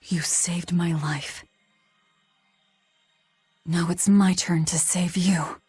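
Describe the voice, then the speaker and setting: A woman playing a brooding, blunt young warrior with a husky voice in a video game. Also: on the low side, fairly even intonation, extremely slow, a little mellow, soft background music. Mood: quiet resolve.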